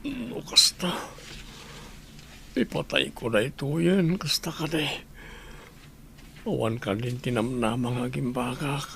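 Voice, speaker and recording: A man's voice: medium pitch, expressive, measured pace, vocal fry, long pauses, fairly bright tone, slight background noise. A man playing an ailing, hard-drinking, self-pitying husband in a radio drama, with a strained voice. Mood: weak, remorseful.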